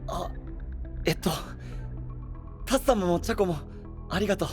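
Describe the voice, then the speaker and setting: An actor playing an earnest, self-doubting young knight in an anime voice drama, with hesitant, boyish, casual speech. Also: male voice, sits high, moderate pace, soft background music, close to an everyday voice. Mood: flustered. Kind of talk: Japanese anime voice drama acting.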